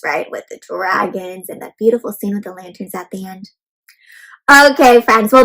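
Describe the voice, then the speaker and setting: A woman hosting an interactive read-aloud for children, speaking in an animated, child-directed way with questions and exclamations. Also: medium pitch, creaky, low-quality recording. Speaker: host reading a picture book aloud to children.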